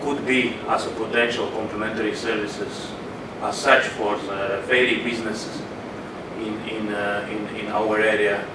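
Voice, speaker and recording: A man's voice: fairly low pitch, level tone, normal speed. A man speaks up from the floor of a conference hall, his voice heard from a distance through a roomy echo. He asks his question hesitantly, hunting for words, over a noticeable noise bed. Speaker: audience member asking a question. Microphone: room audio, away from the microphone.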